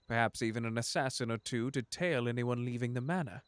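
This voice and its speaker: A man's voice: medium pitch, brisk, smooth, dry recording, natural voice. A man plays a composed, sardonic butler in an intimate ASMR audio drama. He is formal and courteous, protective of the one he addresses, with an unflappable poise. The sound is dry, with hardly any room to it. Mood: polite, composed. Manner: formal, polite, dryly sardonic.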